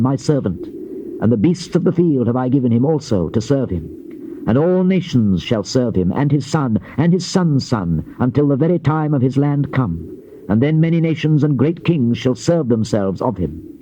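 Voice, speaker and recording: Male scripture narrator, solemn and declamatory, measured emphatic phrasing, mellow tone, faint tape noise. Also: mid-range voice. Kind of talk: dramatized Bible reading, solemn declamation. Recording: dull noisy old cassette tape.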